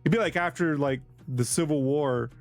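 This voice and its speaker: A middle-aged Southern Californian man with a somewhat high voice and plenty of fry. He banters casually, loose and slangy, swearing freely and joking as he goes. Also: moderate pace, faint music behind. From Southern California, United States. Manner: slangy and profane, peppered with "dude" and "man". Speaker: host of a sketching show.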